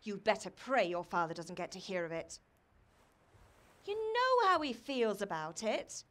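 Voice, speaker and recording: An actress playing a brisk, scolding but caring middle-aged mother from a medieval village in a video game. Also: mid-range voice, very animated, fairly bright tone. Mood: worried scolding. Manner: chiding, matter-of-fact. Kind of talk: naturalistic video game acting.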